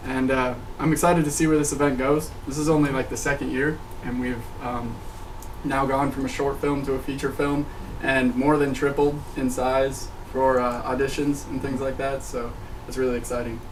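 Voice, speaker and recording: A man speaks in a casual welcome with little movement in pitch. He sounds set back from the microphone, with faint noise around him. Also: flat delivery, moderate pace. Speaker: film director leading a meeting. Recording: distant mic, faint room noise.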